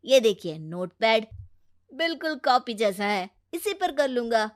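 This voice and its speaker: A woman acts out a cocky young man in a cartoon moral story. The voice sits high and takes its time, with a breezy, dismissive manner that easily tips into whining. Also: big pitch swings, unhurried. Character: cocky, phone-obsessed twenty-year-old man, whiny under stress. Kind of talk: children's moral story character acting.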